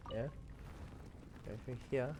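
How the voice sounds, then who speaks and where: A woman's voice: slow pace, dark tone, a little hiss or hum, rough recording. A woman doing casual gameplay commentary, thinking aloud with puzzled reactions and exclamations. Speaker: game streamer. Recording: game music behind voice.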